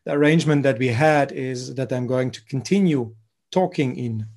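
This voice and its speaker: A man's voice: medium pitch, moderate pace, a little mellow. Matter-of-fact man, German native, guest speaker. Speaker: guest speaker, law professor. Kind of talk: introducing a lecture. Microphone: video call.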